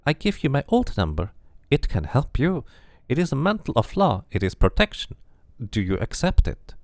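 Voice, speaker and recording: A man's voice: medium pitch, wildly swooping pitch, moderate pace, no room sound, clean studio sound, pitched above the performer's own voice. A man voicing a solemn stranger who has just regained his name, speaking in a formal, earnest way while reading a game aloud. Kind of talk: amateur game voice acting.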